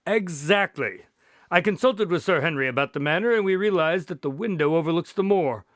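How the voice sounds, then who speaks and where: Male actor as earnest doctor, measured and explanatory, slightly high male voice, dry sound, audio drama. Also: expressive, no echo, natural voice. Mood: recounting, suspicious. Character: earnest, observant male doctor investigating a case.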